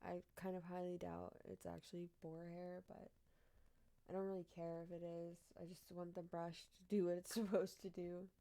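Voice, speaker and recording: A young woman with a deep-sounding voice, chatting casually on a live stream as she reads odd news headlines, blunt and swearing with frequent laughs. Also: level tone, moderate pace, dark tone.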